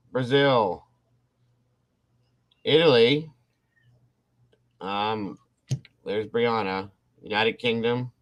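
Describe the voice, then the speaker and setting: A man hosting a casual livestream, rambling and restarting his sentences as he talks to his viewers. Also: fairly low pitch. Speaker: livestream host. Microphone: computer mic on a livestream.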